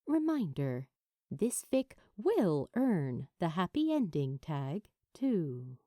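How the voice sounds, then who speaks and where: A woman narrating fiction from a script in a measured, expressive storytelling manner. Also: lowish voice, no room sound, studio quality. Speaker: amateur audiobook narrator.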